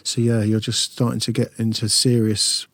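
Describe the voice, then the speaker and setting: A man's voice: medium pitch, little pitch movement, moderate pace, fairly bright tone, no echo, studio quality. A man hosting a podcast, asking friendly, encouraging questions in a casual chat. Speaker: podcast host. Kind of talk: casual interview.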